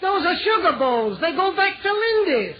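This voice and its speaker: Brash, bossy male dealer, 1940s radio comedy, wildly varied pitch, dull vintage broadcast sound. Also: sing-song, moderate pace, a crowd in the background. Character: brash, bossy male suit-rental dealer in fairy-tale spoof.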